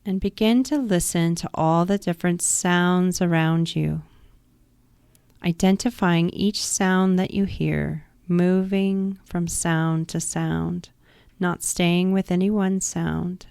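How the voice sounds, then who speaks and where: A woman guiding a yoga nidra relaxation practice in a calm, soothing, instructive manner. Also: on the low side, slow, vocal fry, smooth, no room sound. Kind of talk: guided meditation.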